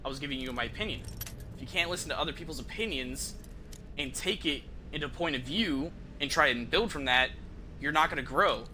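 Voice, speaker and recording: A man's voice: fairly high pitch, brisk, pushed and bright, a little hiss or hum. A man doing casual, profane and slangy commentary as he livestreams a video game. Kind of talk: casual gameplay commentary to stream viewers.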